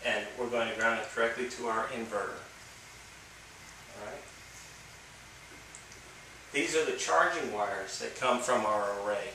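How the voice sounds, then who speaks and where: Middle-aged male DIY instructor, plain step-by-step delivery, bright tone, recorded on a slightly distant camera mic. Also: medium pitch, slight background noise. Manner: plain step-by-step explaining, relaxed. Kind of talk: hands-on how-to demonstration. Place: outdoors by a house, then indoor workshop. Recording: slightly distant camera audio with faint ambience.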